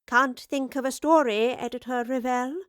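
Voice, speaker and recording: Brief: woman reader as a principled lady professor, crisp and wry, high-pitched and dry-miked, audio drama. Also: steady tone, moderate pace, no room sound, close to an everyday voice. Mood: teasing. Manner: crisp, teasing, then impassioned.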